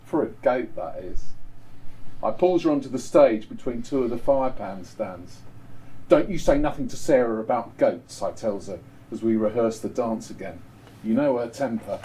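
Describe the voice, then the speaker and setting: A man's voice: medium pitch, animated, normal speed, warm tone, lightly acted, poor audio. A man voicing a cheeky, gossipy young Victorian woman dancer, telling her tale in a colloquial, sardonic, theatrical way as a dramatic monologue. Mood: scornful, sly. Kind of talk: first-person dramatic monologue reading.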